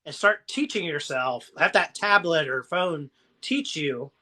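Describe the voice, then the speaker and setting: A man with a southern Ohio American accent talks in a loose, rambling way, offering folksy encouragement on a casual live stream. His voice is very bright and ringing and sits a bit high for a man. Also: lively intonation, measured pace. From Ohio, USA. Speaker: motivational live-stream host.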